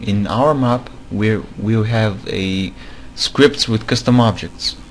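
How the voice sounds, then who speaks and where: A man's voice: on the low side, takes it slowly. A man who is not a native English speaker, giving a screen-recorded tutorial on building game mods. He talks hesitantly, with many fillers and repeated words. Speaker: game modding tutorial maker.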